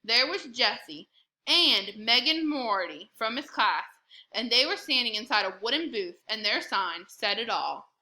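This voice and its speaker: A woman narrating a children's book aloud in an expressive storytelling style. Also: on the high side, unhurried, poor audio.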